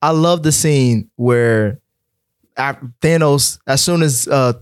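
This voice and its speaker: A man's voice: mid-range voice, slow pace, clean tone, no room sound, studio quality. A man in casual conversation, giving his take as a podcast panelist.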